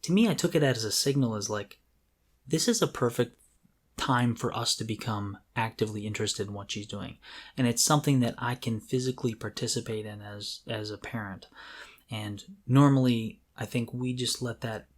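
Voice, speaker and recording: A man's voice: medium pitch, moderate pace. A man co-hosting a podcast in casual conversation, speaking in a candid, self-deprecating way. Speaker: podcast co-host.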